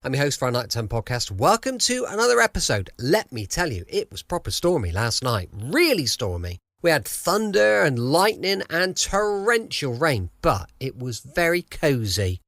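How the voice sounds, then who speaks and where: A man's voice: fairly high pitch, very animated, moderate pace, few pauses, fairly bright tone, dry recording, clean studio sound. A man with a southern English accent, chatting informally and casually as a podcast host. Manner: chatty, informal, tag questions. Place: quiet room at home.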